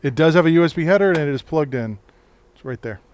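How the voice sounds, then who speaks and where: A deep-voiced man, a computer repair technician, talking through a live-streamed repair at his shop bench in a relaxed, chatty way and answering his chat. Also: quick pace.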